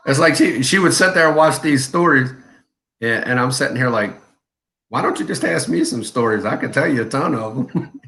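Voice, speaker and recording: A man's voice: medium pitch, animated, normal speed, vocal fry, pushed and bright. A man in his forties chatting casually on a live stream, laid-back, laughing often and telling long stories. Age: 40s. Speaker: co-host of a live chat stream.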